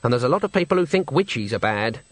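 A man reading aloud and voicing a stern old woman witch who speaks calmly and dryly. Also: mid-range voice, fast talker, no echo. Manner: calm, dry, knowing.

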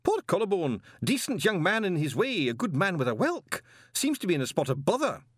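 A fussy old wizard scholar who frets and gossips, as a male storyteller voices him. His voice sits high and bounces about. It sounds dry and close. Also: wildly swooping pitch, brisk, husky, no echo, full character voice. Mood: fretful. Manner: fussy, fretful.

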